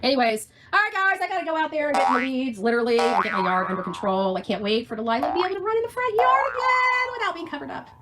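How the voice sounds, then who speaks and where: A woman's voice: high-pitched, very fast, few pauses, very noisy. A woman ranting on a livestream in a combative, sarcastic, foul-mouthed way. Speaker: livestream host.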